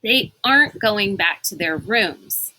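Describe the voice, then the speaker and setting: A woman's voice: medium pitch, expressive, slow, pushed and bright, slight background noise, poor audio. A woman voicing a haughty, suspicious girl guard in a storybook, with an accusing manner. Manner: snarling, accusing.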